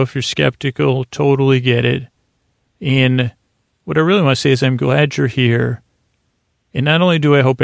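A man with a creaky, gravelly voice hosting a sleep podcast, giving a halting, meandering, soothing bedtime monologue full of digressions. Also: medium pitch, no echo, studio quality. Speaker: podcast host. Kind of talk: rambling bedtime monologue for falling asleep.

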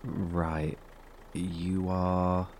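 A suspicious survivor in an audio drama, with a deep voice. He is terse and hesitant, keeping his guard up. Faint engine noise sits behind his words. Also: little pitch movement, extremely slow, darkish tone. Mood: uneasy.

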